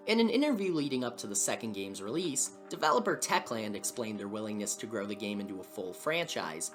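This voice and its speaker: Animated man narrating, music behind. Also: mid-range voice. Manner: wry, dry humour. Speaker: video essay host and narrator. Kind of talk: scripted documentary narration. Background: background music.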